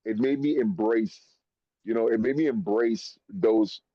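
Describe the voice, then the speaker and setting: A man from Trinidad, a rapper guest, talking in an animated, slang-heavy way in a casual chat. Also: lowish voice, a little slow, no room sound. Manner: animated, slang-heavy, calls host bro. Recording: dull, dry lo-fi sound.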